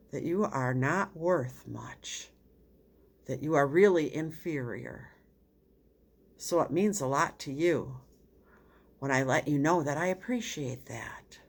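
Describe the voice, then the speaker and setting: Slow, low-pitched woman reading an audiobook. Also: a bit bright. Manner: measured, deliberate phrasing. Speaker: audiobook reader. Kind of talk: scripted narration of a self-help book.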